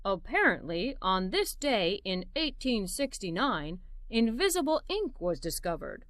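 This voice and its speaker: A woman voicing a cheerful, encouraging father in a children's storybook, with an animated, expressive delivery. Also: mid-range voice, a little slow, voice pushed down. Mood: amazed. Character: cheerful, encouraging father reading news to his daughter. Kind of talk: scripted children's story read-aloud.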